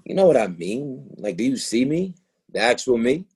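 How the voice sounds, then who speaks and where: Male actor as frustrated young boyfriend, hurt, hesitant, mellow husky voice, play reading. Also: medium pitch, big pitch swings, full character voice. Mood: hesitant, searching. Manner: halting, hesitant, repeats himself. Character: hurt, frustrated young boyfriend confronting his girlfriend about racism. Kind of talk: readers theatre play reading, naturalistic dialogue.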